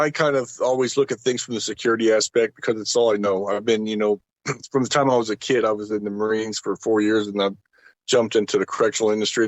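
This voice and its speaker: Middle aged guy, lowish voice, folksy panel talk, muffled lo-fi sound. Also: no echo. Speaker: panel guest. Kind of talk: casual opinion talk.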